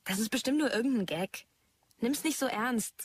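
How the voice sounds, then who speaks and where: An actress playing a breezy, teasing but caring friend in a naturalistic audio drama. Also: female voice, a little high, normal speed, slight background noise, close to an everyday voice. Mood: reassuring. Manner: casual, teasing.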